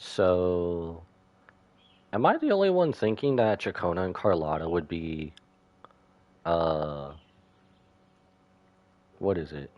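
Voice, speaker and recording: A man's voice: sits low, lively intonation, slow, pauses often, darkish tone, no room sound, dull audio. A man giving casual, joking live commentary as he streams a video game. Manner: joking, offhand. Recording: faint game music behind.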